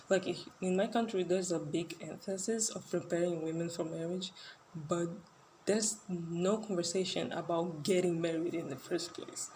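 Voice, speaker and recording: A woman's voice: fairly low pitch, warm tone, slight background noise, rough recording. Rambling woman in her twenties. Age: 20s. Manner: rambling and hesitant, full of "like" and restarts. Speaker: podcast host. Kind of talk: casual solo monologue.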